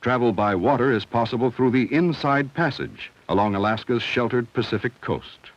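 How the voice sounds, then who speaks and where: A man reading scripted narration for a 1970 educational film in a measured, instructional, clearly enunciated way. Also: medium pitch, expressive. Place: studio voice-over. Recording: dull, dry vintage film soundtrack.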